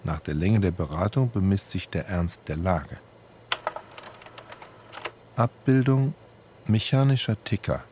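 A man's voice: low-pitched, expressive, measured pace, long pauses, noisy background. A man reading scripted literary narration in a factual, matter-of-fact manner. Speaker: reader.

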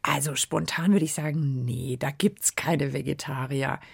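A woman's voice: sits low, fairly even intonation, measured pace, creaky, slightly rough, no room sound. A woman co-hosting a children's radio show in informal chat, musing aloud close to a studio microphone.

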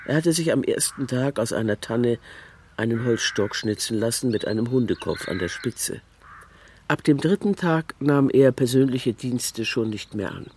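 Deliberate male narrator, faint noise. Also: mid-range voice, animated, a little mellow. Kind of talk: scripted literary narration.

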